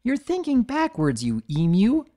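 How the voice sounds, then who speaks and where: A woman voicing a little girl pony who squeaks and whines in a high, excitable voice. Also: unhurried. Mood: whiny. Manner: high-pitched squeaking and whining.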